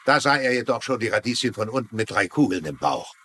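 A sardonic small-town sheriff in a TV crime drama, played by a male actor. His mid-pitched voice is forceful and bright, and he lays things out in a dry, official way. There is some background noise on the recording. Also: expressive, quick pace, natural voice. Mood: dry, explaining. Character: weary, gruff small-town American sheriff, fed up. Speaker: voice actor in a dubbed crime series. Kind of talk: dubbed TV crime drama acting.

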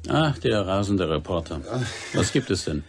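An actor playing a dry, sardonic newspaper chief with a gravelly, husky voice in a radio crime play. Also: male voice, low-pitched, lively intonation, moderate pace, faint music behind.

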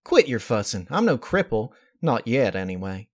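A man's voice: medium pitch, sing-song, moderate pace. A man voicing an easygoing, stubborn middle-aged country mother pony in a story reading, folksy and plain-spoken. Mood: gently stubborn. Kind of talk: dramatized fan-fiction reading.

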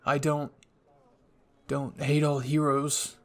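A man voicing a wounded, remorseful young villain in an anime roleplay, speaking softly in a halting, weary way. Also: a little high, completely flat delivery. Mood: calm, sheepish. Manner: halting, weak, pleading. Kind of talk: anime ASMR roleplay acting.

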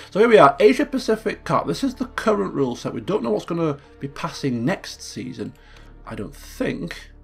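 A man hosting a casual gameplay commentary, thinking aloud and correcting himself as he goes, his voice a little croaky from just waking up. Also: mid-range voice. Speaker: let's play host.

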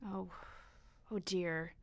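A woman playing a caring, fretful young girl in a nostalgic period audio drama, gentle, hesitant and reassuring with a friend. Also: fairly low pitch, fairly even intonation, extremely slow, dry recording, studio quality, full character voice. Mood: gently reassuring.

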